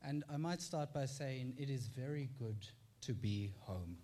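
A man from South Africa with a South African accent, giving a measured, formal conference presentation and using rhetorical repetition. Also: medium pitch, animated, mellow. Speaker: tax clinic presenter.

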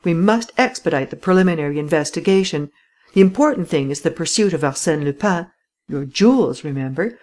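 A woman reading an audiobook voices a clever gentleman thief who gives brisk, imperious instructions in a slightly raised voice. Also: low voice, expressive. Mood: commanding. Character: cool, commanding gentleman thief, clever and persuasive.

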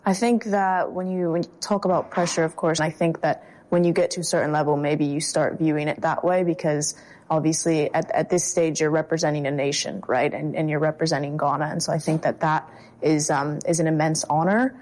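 A woman national team player giving thoughtful, measured interview answers. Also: sits low, fairly even intonation, darkish tone, rough recording. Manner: thoughtful, measured, many restarts.

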